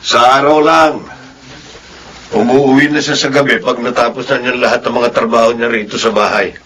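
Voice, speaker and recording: A man's voice: mid-range voice, flat delivery, normal speed, sharp tone, a little hiss or hum, close to an everyday voice. An actor playing a middle-aged, firm and protective father in a Filipino radio drama, speaking earnestly. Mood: considerate. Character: firm, protective father defending his returned wife.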